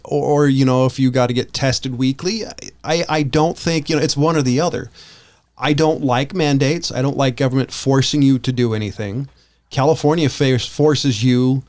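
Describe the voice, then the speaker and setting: American man rambling through a casual podcast discussion. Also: medium pitch. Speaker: podcast co-host.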